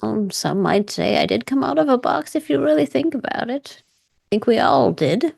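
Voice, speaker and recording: A woman's voice: on the high side, sing-song, moderate pace, vocal fry, a little mellow, dry recording, clean studio sound, full character voice. A woman playing a wry, worldly, evasive woman in a tabletop roleplay, musing in roundabout phrases.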